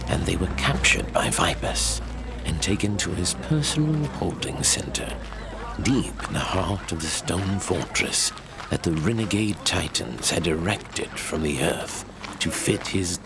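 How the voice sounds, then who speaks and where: Guy as knowing fantasy storyteller, super low and creaky, deliberate and dramatic, noise behind him. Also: exaggerated voice. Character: measured, knowing male storyteller of dark fantasy lore.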